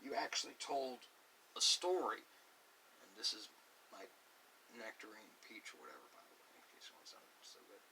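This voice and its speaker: A man's voice: on the low side, big pitch swings, normal speed. A man rambling informally in an ASMR music talk, musing and opinionated, often drifting into asides. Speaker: host of an ASMR channel. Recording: distant mic with hiss.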